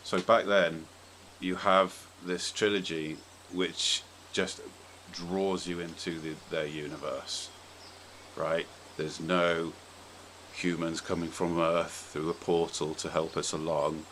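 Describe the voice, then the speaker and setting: A man's voice: sits low, level tone, slow, fairly bright tone, a little hiss or hum. A man hosting a podcast, talking casually and giving his opinions with emphatic, sweary phrasing. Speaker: podcast host.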